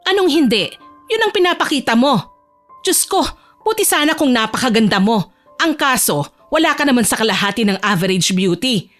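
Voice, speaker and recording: Female narrator as harsh middle-aged supervisor, audio drama. High, sarcastic, belittling scold over soft music. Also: sing-song, normal speed. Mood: scornful, scolding.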